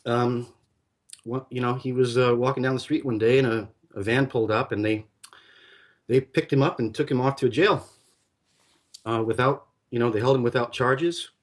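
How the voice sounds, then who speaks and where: A man in casual conversation, spinning a long story at an everyday pitch. He rambles, stacks up fillers and restarts, and keeps circling back over his own sentences. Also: fairly even intonation, moderate pace.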